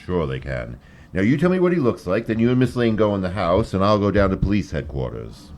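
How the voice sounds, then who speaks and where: A man playing a calm, wry gentleman sleuth in a live radio drama, speaking in a measured, reassuring way. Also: sits low. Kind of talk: old-time radio drama acting before a live audience. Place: live stage performance in a hall.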